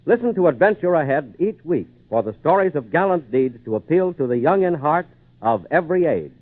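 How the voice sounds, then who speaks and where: Animated American old-time radio announcer man. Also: on the high side, brisk, dry recording. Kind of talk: 1940s radio announcing, scripted. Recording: tinny phone-like old radio recording.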